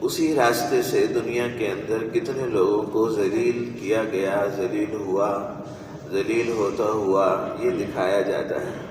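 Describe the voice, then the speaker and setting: A man preaching a religious sermon in a deliberate manner, with rhetorical repetition and pauses. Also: fairly low pitch, fairly even intonation. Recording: echoey hall from far off, noisy.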